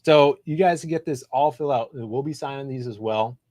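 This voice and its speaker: A man co-hosting a casual comics livestream, chatty and relaxed, reading out viewer messages. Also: medium pitch, normal speed, no room sound, clean studio sound. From Chicago area. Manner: laid-back, chatty, reads super chats aloud.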